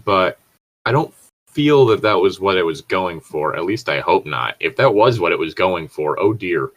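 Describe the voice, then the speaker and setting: A man's voice: low voice, lively intonation, normal speed, low-quality recording. A man chatting casually in a rambling way, swearing freely. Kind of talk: casual chat.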